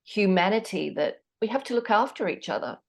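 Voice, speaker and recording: A woman's voice: mid-range voice, big pitch swings, brisk, gravelly. A woman hosting a podcast, asking curious, encouraging questions. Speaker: podcast host. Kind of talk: interviewing.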